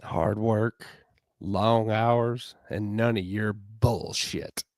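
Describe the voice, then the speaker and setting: A man's voice: on the low side, fairly even intonation, drawn-out words, a little mellow, no echo, clean studio sound. A man playing a stern, fed-up restaurant partner in a romance drama, with a tight, curt tone. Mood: stern, irritated.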